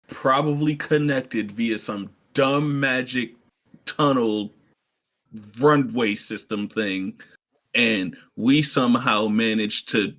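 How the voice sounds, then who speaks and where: A man's voice: mid-range voice, fairly bright tone. A man talking casually and flippantly as a player in a tabletop roleplaying game, with a wry delivery.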